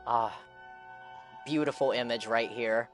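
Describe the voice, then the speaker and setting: A man's voice: mid-range voice, fairly even intonation, a little slow. A man streaming a game, chatting casually as he reacts to the story, with game music faintly behind him.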